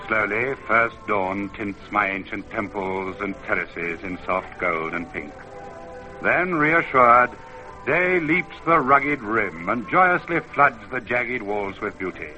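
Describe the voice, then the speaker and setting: An actor playing the ancient spirit of a mountain in an old-time radio drama, grand and poetic, with solemn theatrical declamation. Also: male voice, fairly low pitch, lively intonation, slow, pushed and bright, exaggerated voice. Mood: grand, reverent. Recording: dull vintage radio recording, faint music.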